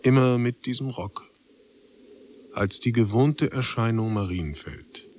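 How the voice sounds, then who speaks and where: An actor as a sober chronicler, narrating a literary radio drama in measured, clipped phrases. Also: male voice, medium pitch, background noise, natural voice. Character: sober, unhurried male chronicler of a literary tale.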